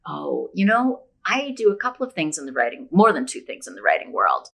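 Upbeat, lower-pitched woman chatting as guest. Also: huge pitch swings, fairly quick, gravelly, fairly bright tone, slightly rough. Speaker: guest author and podcaster. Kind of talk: casual conversational interview.

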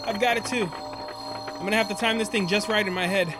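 An actor playing a cocky, wisecracking spaceship pilot in a sci-fi audio drama, breezy and brash. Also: male voice, high-pitched, fairly even intonation, brisk, over loud music, natural voice. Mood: excited, cocky.

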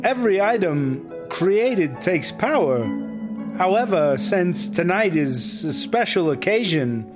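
Casting: dignified marsupial cult elder for comedy audio drama; measured, formal delivery, higher-set voice. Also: male voice, sing-song, full character voice. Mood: solemn, proud. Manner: formal, ceremonious, pompous. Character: solemn male possum elder of an underground sect. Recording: music behind the voice.

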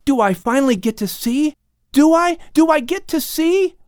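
A man voicing an eager, excitable young boy in a dramatized audiobook story. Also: very high voice, moderate pace, no echo, studio quality, pitched above the performer's own voice. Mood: pleading excitement. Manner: pleading, breathless enthusiasm.

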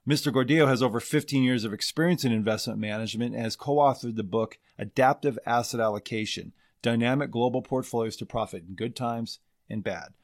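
A man's voice: mid-range voice, a little fast, hardly any pauses. A man hosting an interview podcast, introducing and talking with his guest in a conversational style.

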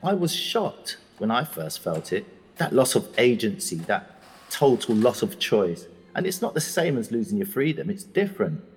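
An actor playing an educated middle-aged prisoner, reflective and indignant, in an articulate, confiding audio drama monologue. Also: male voice, mid-range voice, sing-song, normal speed, slightly rough, big-room sound, faint music behind. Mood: indignant, weary.

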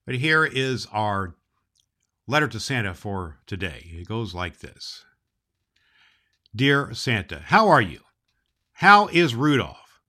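A man's voice: on the low side, pushed and bright, heavily acted. A man playing a jolly, kindly old Santa Claus, reading and hosting for children with emphatic affection. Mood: reading a letter. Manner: emphatic, affectionate, addressing boys and girls. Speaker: storybook reader and show host.

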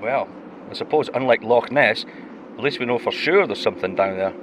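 A man's voice: mid-range voice, brisk, noisy background, close to an everyday voice. A man playing a wry, homesick Scottish astronaut and diver in a naturalistic audio drama, talking colloquially. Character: homesick, joking Scottish astronaut and deep-sea diver, male.

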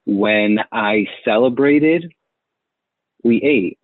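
Guy telling his story slow and steady, sounds like a phone line, no room echo. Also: on the low side. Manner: measured, repeats phrases for emphasis. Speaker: interview guest. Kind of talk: reflective personal storytelling. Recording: muffled phone-like line, very dry.

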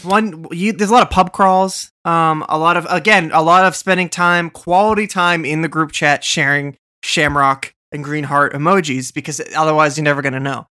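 A man's voice: a little high, steady tone, moderate pace, few pauses, bright, forceful tone. A man from Boston co-hosting a podcast, rambling and joking in casual chat with lots of fillers and restarts. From Boston, USA. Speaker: podcast co-host.

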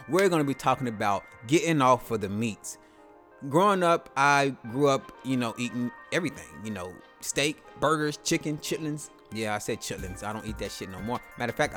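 A man's voice: medium pitch, a bit bright. A man in his late thirties hosting a solo podcast, talking loosely and casually, slipping into slang and playing out short quoted exchanges. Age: 30s. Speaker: podcast host.

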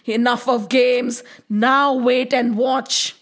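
A woman's voice: a little high, fairly even intonation, slow. A woman storyteller voicing a boastful giant serpent demon that gets bewildered and exclaims in indignant questions. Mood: menacing.

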